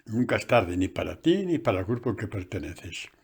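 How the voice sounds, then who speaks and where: Husky-voiced priest preaching casually. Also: male voice, lowish voice, brisk. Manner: conversational, animated preaching with asides. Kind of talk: sermon catechesis. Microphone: phone.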